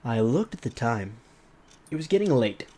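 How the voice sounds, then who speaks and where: Very low-voiced woman hosting a let's-play. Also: normal speed, slightly rough. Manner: playful, animated asides. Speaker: host of a gaming show. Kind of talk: casual let's-play chat and dramatic read-aloud of a visual novel. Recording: guitar game music behind the voice. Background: guitar game music.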